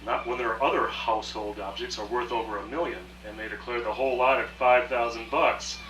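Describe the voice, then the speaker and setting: A man's voice: medium pitch, steady tone, a little fast, a bit bright, echoey room, natural voice. Man as brash scheming smuggler, noisy distant room. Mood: scornful, brash. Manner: slangy, breezy, conspiratorial. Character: brash, scheming smuggler of art treasures, cocky. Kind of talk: radio play acting, rehearsed dialogue.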